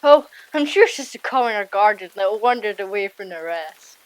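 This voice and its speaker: A woman voicing an unheroic, self-doubting young Viking boy in an audiobook, expressive and self-deprecating. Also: medium pitch, normal speed, clean tone, no room sound, close to an everyday voice, rough recording. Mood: feigned carelessness. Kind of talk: audiobook character acting.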